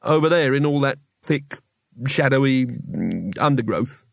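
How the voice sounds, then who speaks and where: A man's voice: mid-range voice, expressive, unhurried, creaky, dry recording. A man voicing a hapless, cynical wizard in a storybook, speaking with hesitant, wary politeness and a sardonic edge. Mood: bitter, uneasy. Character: hapless, anxious, cynical male wizard adventurer.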